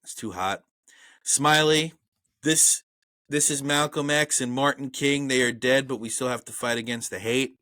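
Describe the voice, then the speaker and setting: A man's voice: mid-range voice, normal speed, bright, forceful tone, dry recording. A man with a Brooklyn accent co-hosting a podcast, chatting casually and profanely about an old movie and reading trivia aloud. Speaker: podcast co-host. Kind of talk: casual movie review chat.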